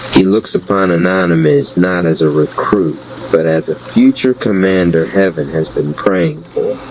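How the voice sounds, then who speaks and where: Deep-voiced man for solemn, grandiose fantasy narration, very measured pace, clearly noisy rumbling backdrop. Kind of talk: dramatic scripted spoken-word fantasy narration.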